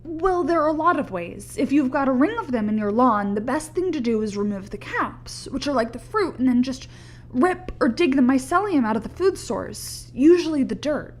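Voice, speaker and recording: Earnest young woman scientist, high-voiced. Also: expressive, normal speed. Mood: earnest, explaining. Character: earnest young female mycology student, sceptical, unsettled. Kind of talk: audio play acting.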